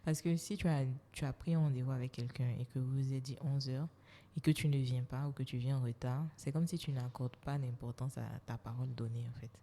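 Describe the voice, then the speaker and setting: A woman, a human resources specialist, speaking as an interview guest in a measured, thoughtful way, laying out her points calmly. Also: extremely low pitch. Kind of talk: casual interview. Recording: long hall reverb.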